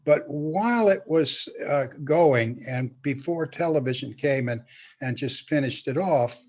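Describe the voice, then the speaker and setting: Elderly man, higher voice, halting, slightly dull sound. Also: darkish tone. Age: 80s. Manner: halting, rambling delivery with repeated words. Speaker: guest, veteran script writer. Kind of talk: casual reminiscing in an interview.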